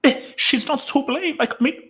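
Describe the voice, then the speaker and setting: A man hosting a casual podcast chat, arguing his point with animated emphasis and lots of repeated words. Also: very high voice, moderate pace. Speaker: podcast host.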